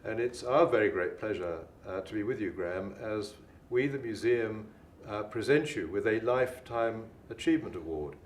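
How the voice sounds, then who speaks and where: A man's voice: lowish voice, expressive, normal speed. A man giving a formal award presentation speech as a museum director. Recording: distant, echoey, noisy room recording.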